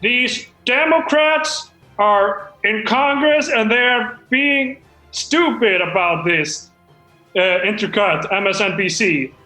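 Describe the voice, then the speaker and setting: A man's voice: high-pitched, expressive, slow, bright, forceful tone, roomy sound, soft background music, rough recording. A man with an earnest, flustered, hesitant manner, narrating stage directions and chatting comically at a script read. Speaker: screenwriter reading out his script at a comedy table read.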